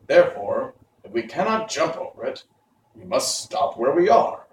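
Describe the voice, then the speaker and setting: A man voicing a living scarecrow from a storybook, reasoning calmly in a measured, matter-of-fact way. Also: mid-range voice, echoey room, distant mic, a little hiss or hum, lightly acted, low-quality recording. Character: male living scarecrow, calm, logical, self-sacrificing.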